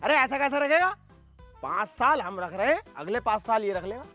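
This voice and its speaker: A man's voice: very high-pitched, expressive, brisk, pushed and bright, full character voice. A man playing an indignant village rival in a comedy sketch, accusing and threatening in his delivery. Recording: faint music behind the voice.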